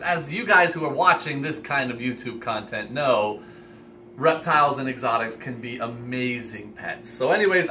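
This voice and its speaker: Male vlogger, 20s-30s, eager and sincere, bright tone, filmed indoors with some background noise. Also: medium pitch. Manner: enthusiastic, earnest. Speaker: host, reptile expo organizer. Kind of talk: casual vlog announcement to camera. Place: indoor room lined with reptile racks. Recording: steady ambient room noise.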